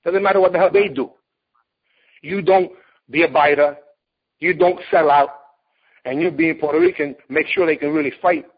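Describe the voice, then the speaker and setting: A man in his late forties who talks bluntly and emphatically, lecturing as he tells his story in an interview. His voice sits a little high and has a husky, slightly rough edge. The recording sounds dull and lo-fi, with the top end missing. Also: animated, moderate pace, pauses often. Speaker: martial arts competitor and teacher.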